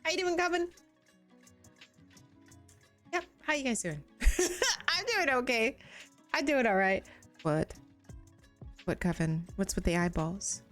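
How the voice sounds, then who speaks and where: A woman's voice: fairly high pitch, animated, slow, long pauses, a bit bright, soft background music. A woman streaming a game, chatting casually with her viewers in a bubbly, giggly, self-deprecating way, sounding tired.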